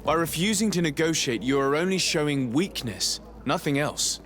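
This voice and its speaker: Higher-voiced, composed diplomat. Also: male voice, moderate pace. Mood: firm, persuasive. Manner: calm, formal, direct. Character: composed, persuasive male diplomat hero. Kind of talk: video game dialogue acting. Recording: clear ambient noise behind the voice.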